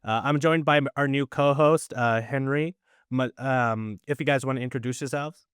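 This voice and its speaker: A man's voice: mid-range voice, moderate pace, fairly bright tone, clean tone, dry recording, studio quality. A young man hosting a casual podcast, introducing guests and asking questions in a loose, filler-heavy way. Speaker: podcast host.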